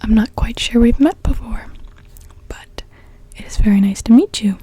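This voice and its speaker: A woman voicing a calm, mysterious sea-cove witch in an ASMR roleplay, speaking gently and slowly in a soft breathy whisper. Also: fairly high pitch. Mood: calm, curious. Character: calm mysterious cove witch, part mermaid, potion brewer.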